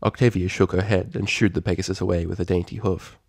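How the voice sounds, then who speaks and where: A man's voice: sits low, steady tone, fast talker, vocal fry, darkish tone, dry recording, studio quality. A man reading fan fiction aloud as a scripted, dramatic story narrator.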